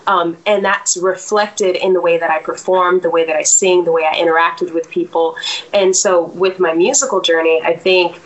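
A woman's voice: on the low side, steady tone, normal speed, rough recording. A woman in her thirties, a singer, talking reflectively in a casual interview with many fillers and restarts.

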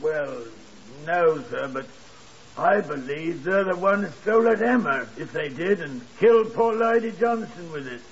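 High-pitched, deferential hotel worker. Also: male voice, expressive, unhurried. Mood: hesitant, insistent. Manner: hesitant, deferential, eager to explain. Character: earnest, deferential working-class hotel night man. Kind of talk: 1950s radio crime drama acting. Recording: muffled 1950s radio broadcast.

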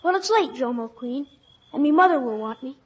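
An eager Irish village boy. The voice is high and polite, in vintage radio drama style, on a dull old recording. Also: lively intonation, fairly quick, a crowd in the background. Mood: shy, hurried. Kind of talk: 1950s radio acting. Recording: muffled old radio broadcast.

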